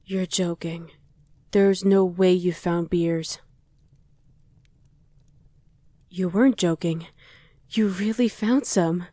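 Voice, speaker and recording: Casual young survivor played by a woman, ASMR drama, lowish mellow voice, very dry recording. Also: fairly even intonation, slow, dry recording. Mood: casual, amused. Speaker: voice actor. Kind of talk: ASMR roleplay acting.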